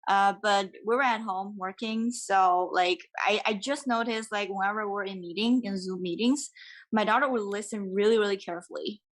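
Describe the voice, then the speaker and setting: A woman's voice: medium pitch, normal speed. A woman and new mother chatting relaxedly as a podcast guest. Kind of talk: casual podcast chat.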